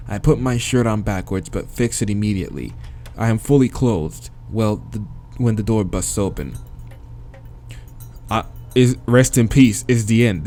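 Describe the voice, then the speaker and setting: An American man reading a visual novel aloud as a let's-play host, dramatic in the narration and breaking into slangy, expressive asides. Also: fairly low pitch. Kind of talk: dramatic read-aloud with casual reactions.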